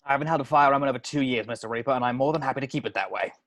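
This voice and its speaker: A man playing a stern, by-the-book police sergeant in a script table read, clipped and deadpan. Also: medium pitch, rapid-fire, close to an everyday voice. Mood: stiffly formal. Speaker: amateur actor in an online table read.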